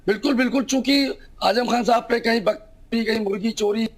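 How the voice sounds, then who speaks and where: A man's voice: extremely high pitch, a little fast. A man, a lawyer explaining a case in a news interview.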